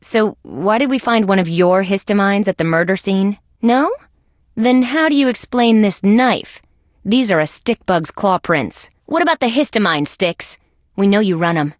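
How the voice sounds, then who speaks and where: A woman's voice: mid-range voice, expressive, moderate pace, no room sound, clean studio sound, natural voice. A woman voicing a tough, wisecracking female insect police detective in a video game, with a wry, sardonic delivery. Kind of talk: scripted game dialogue, detective banter.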